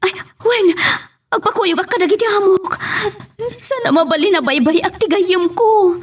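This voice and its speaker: Very high, distraught Ilocano woman actress. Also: animated, slight background noise. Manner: distraught, halting. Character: alarmed woman taking a shocking phone call. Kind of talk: melodramatic radio-style drama acting.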